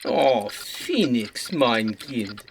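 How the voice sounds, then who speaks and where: A woman's voice: rumbling low, animated, drawn-out words, a little hiss or hum, exaggerated voice. A woman playing a fond, theatrical old mentor space captain in a science-fiction audio drama. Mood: tender. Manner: theatrical, doting.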